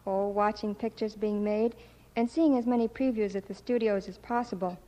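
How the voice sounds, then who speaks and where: A woman's voice: medium pitch, steady tone, moderate pace, mellow. A young woman from Ohio, a guest starlet giving a scripted 1940s radio interview and testimonial. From United States, Ohio. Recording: dull, reverberant old radio broadcast.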